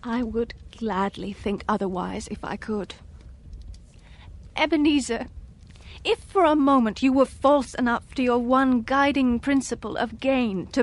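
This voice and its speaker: High-voiced, sorrowful young fiancée. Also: female voice. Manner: earnest, measured, sorrowful. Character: heartbroken, resolute, principled young fiancée. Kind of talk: radio drama acting.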